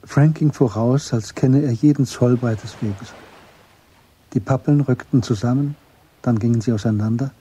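A man narrating a German literary radio drama in a measured, understated way. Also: mid-range voice, warm tone, husky, close to an everyday voice.

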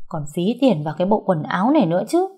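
A woman's voice: on the low side, big pitch swings, fast talker, mellow, breathy edge. A woman voicing a brash, cheeky young female fortune-teller who talks boastfully and colloquially in an audiobook story. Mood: grumbling.

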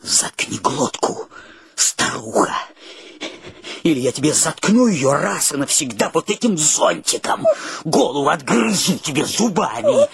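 An actor playing a polite, sensitive husband in a radio play, courteous, halting and emotional, devoted to his friends. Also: fairly high pitch, gravelly, fairly bright tone, husky, heavily acted. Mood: threatening. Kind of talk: theatrical radio drama acting.

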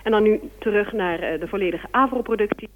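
Female radio host, average pitch, courteous and formal, feeling her way through live questions and handovers. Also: narrow, tinny audio, a little hiss or hum. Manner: polite, formal address, halting phrasing. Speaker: radio presenter. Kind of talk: live broadcast interviewing and handover.

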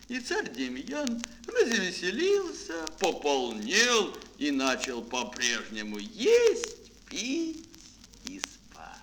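A man narrating a children's fairy tale theatrically, with dramatic phrasing and pauses, as a storyteller in an audio play. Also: a little high, fairly bright tone, slight background noise, poor audio. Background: music.

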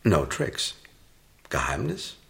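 An actor playing a terse, cryptic art commentator with a gravelly voice in a radio play. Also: male voice, deep voice, wildly swooping pitch, takes it slowly, fairly bright tone, exaggerated voice. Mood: dry, cryptic. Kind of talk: scripted radio play dialogue.

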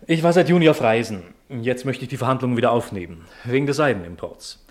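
An actor playing a brisk, formal travelling merchant with clipped speech in a 1950s German radio drama. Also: male voice, medium pitch, animated, close to an everyday voice. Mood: brisk, businesslike.

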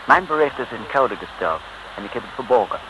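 An actor playing a polite, secretive British police inspector with an English accent, mixing English words in, in old radio serial style. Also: male voice, medium pitch, lively intonation, a little fast, close to an everyday voice. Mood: earnest, instructing. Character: courteous British male police inspector working undercover. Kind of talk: 1950s-60s radio serial acting. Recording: noisy old radio recording.

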